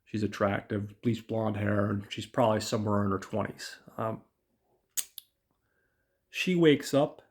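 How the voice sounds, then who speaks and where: Raspy-voiced man hosting a film podcast. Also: lowish voice, measured pace, pauses often. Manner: rambling with many ums and uhs, talks to listeners as guys. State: raspy voice from illness. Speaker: podcast host. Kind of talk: casual film review.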